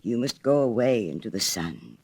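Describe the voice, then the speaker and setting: Woman plays storybook prince statue: gentle, sorrowful, slow and solemn, with swelling intonation. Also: rumbling low, big pitch swings, husky, pitched below the performer's own voice, heavily acted. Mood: pleading.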